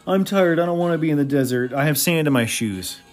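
A man's voice: a little high, animated, fairly quick, faint music behind, voice pushed up, close to an everyday voice. A man voicing a whiny, fussy male ape butler who complains petulantly. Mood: whining. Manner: whining, petulant.

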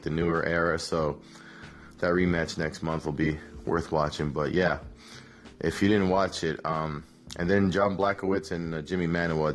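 A man, hungover, hosting a casual solo podcast recap in a relaxed, rambling way with many fillers. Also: deep voice. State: hungover, rough voice. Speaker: podcast host, MMA analyst.

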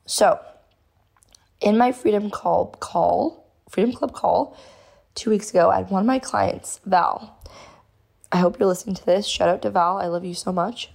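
Woman podcast host, hoarse, gravelly, bubbly and effusive. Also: mid-range voice, normal speed, pauses often, darkish tone. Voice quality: gravelly, strained. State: hoarse, lost voice. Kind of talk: casual podcast monologue.

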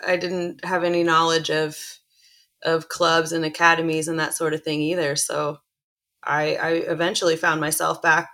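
A young woman, a professional soccer player, chatting casually about her background in an interview, telling stories in a relaxed way. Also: fairly low pitch. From born in Chile, grew up in Illinois and Indiana. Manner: chatty, relaxed storytelling with frequent restarts.

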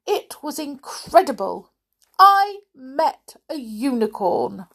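A woman voicing an excitable, gushing little chatterbox lady from a children's storybook, rambling breathlessly in exclamations. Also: sits high, takes it slowly, gravelly, pitched above the performer's own voice, full character voice. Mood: gushing excitement.

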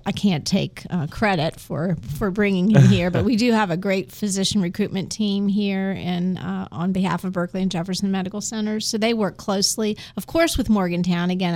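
Slightly low-voiced woman, interview guest. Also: darkish tone, no echo. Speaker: guest, hospital marketing executive. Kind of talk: promotional interview talk.

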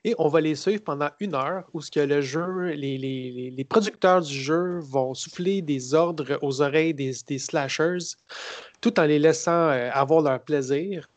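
A man with a Québécois accent, co-hosting a casual podcast and describing a film in a sarcastic, rambling, halting way. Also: on the high side, dry recording. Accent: Québécois French. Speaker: podcast co-host. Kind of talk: casual podcast chat about films.

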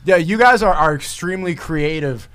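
A young man who is a guest on a podcast, talking in a joking way and mocking people with quick impressions. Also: on the high side, fairly bright tone, clean tone. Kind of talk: casual podcast chat.

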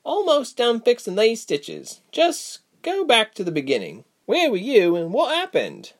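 Storybook role: a man doing a sharp-tongued woman healer, high-pitched, firm and level, with lively swings. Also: unhurried, full character voice. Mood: scolding. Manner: calm, level, scolding. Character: blunt, sharp-tongued woman healer, calm but livid.

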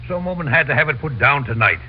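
A man's voice: fairly high pitch, lively intonation, fast talker, slightly rough. An American man playing a jovial, folksy, blustering deliveryman with a taste for showmanship in an old radio comedy. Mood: chummy. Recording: muffled, noisy old radio recording.

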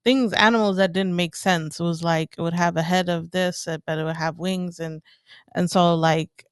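Woman from ethiopia chatting, rambly, lots of ums, smooth voice. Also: fairly low pitch, fairly even intonation, normal speed, no room sound, studio quality. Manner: rambling, full of fillers and laughs. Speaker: guest. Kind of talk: casual chat.

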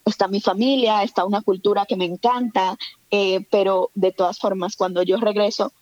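A woman's voice: mid-range voice, steady tone, moderate pace, a little mellow, no room sound, lo-fi sound. Reflective Dominican-born woman in her thirties. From Dominican Republic. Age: 30s. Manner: reflective, hesitant with fillers. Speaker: guest. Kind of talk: casual conversation.

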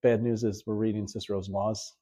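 A man's voice: on the low side, flat delivery, fairly quick, dark tone, slightly rough. A man hosting a podcast and talking casually as a teacher, often repeating words as he thinks. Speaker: podcast host and high school teacher. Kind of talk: casual conversation about teaching.

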